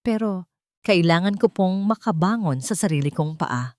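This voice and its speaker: A woman's voice: lowish voice, animated, normal speed, darkish tone, no echo, studio quality, lightly acted. A woman voicing a modest, polite and steadfast young former housemaid in an audiobook love story. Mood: polite, guarded. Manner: polite, reserved.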